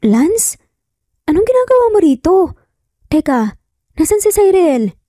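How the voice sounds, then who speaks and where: A woman's voice: extremely high pitch, very animated, a little slow, warm tone, no room sound, studio quality, voice pushed up, exaggerated voice. A Filipino woman playing a guarded, emotional young mother in a romance story. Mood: flustered. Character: guarded young single mother, tender but torn.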